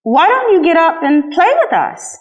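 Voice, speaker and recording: A woman's voice: very high-pitched, very animated, moderate pace, lo-fi sound, pitched above the performer's own voice. A woman voicing a bold young male wild rabbit in a storybook, teasing and taunting as he exclaims. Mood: playful challenge.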